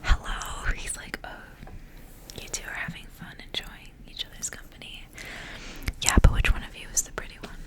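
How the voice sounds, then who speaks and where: Woman whispering an ASMR story reading. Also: moderate pace. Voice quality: soft, breathy whisper. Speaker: ASMR reader and channel host.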